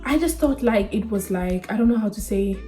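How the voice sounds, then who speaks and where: A woman's voice: medium pitch, animated, a little fast, mellow, faint music behind. Candid Namibian woman vlogging in her twenties. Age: 20s. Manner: candid, chatty, slangy. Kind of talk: casual sit-down vlog chat.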